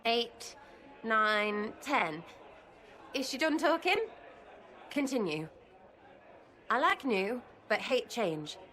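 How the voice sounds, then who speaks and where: Slow, high-pitched scrappy young rogue. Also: female voice, big pitch swings, bright, forceful tone, some chatter behind, full character voice. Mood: nervous, earnest. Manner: blunt, slangy, rambling. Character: cheeky, scrappy young woman rogue, blunt and tender. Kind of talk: video game voice acting.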